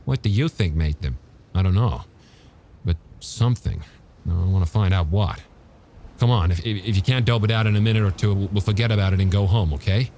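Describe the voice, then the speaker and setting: A man voicing a steady, grimly practical male police chief in an audiobook, blunt and low-key. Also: a little fast, slight background noise. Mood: determined.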